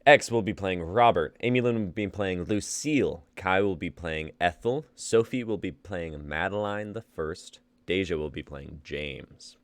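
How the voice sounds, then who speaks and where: Deep-voiced laid-back young host. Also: male voice, unhurried, hardly any pauses, no echo. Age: 20s. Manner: laid-back, laughing, uses y'all. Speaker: host and playwright. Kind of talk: casual hosting and reading stage directions.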